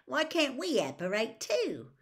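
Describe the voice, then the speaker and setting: A woman's voice: a little high, wildly swooping pitch, a little slow, voice pushed up, exaggerated voice. A woman voicing a cheeky teenage boy from a storybook, grumbly and teasing in manner. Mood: sleepy, grumpy.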